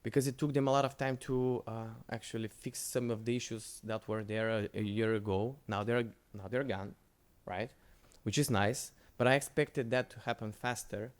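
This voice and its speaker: A man's voice: medium pitch, fairly even intonation, normal speed, few pauses, clean tone. Man giving a conference talk. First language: Bulgarian. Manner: loose, conversational, with frequent restarts. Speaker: conference speaker. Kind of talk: conference presentation with Q&A.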